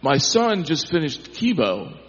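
A man with a deep voice telling a church testimony in a folksy way that builds into preacher-like emphasis. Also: sing-song, measured pace, a bit bright. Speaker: congregation member. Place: church sanctuary. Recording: echoey church hall, dull sound.

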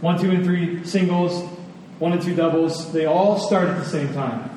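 A man giving a sermon in a conversational way, telling personal stories with emphatic repetition and pauses. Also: fairly high pitch, darkish tone. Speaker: preacher. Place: large reverberant room with an audience. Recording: echoey hall, distant, faint noise.

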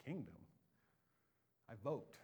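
An American man from the Pacific Northwest preaching a sermon, loud and animated, dropping into quiet asides before rising to booming emphasis. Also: slow, slightly rough. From Bellingham, Washington, USA. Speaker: pastor. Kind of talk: expository sermon.

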